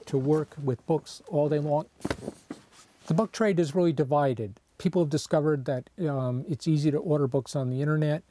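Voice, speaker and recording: A man in his sixties who owns a bookshop, speaking in a documentary interview on a lapel mic and pausing often with fillers. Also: fairly high pitch, mellow, no room sound. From United States. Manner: hesitant, many uh fillers. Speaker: bookshop owner. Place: wooden barn bookshop, indoors.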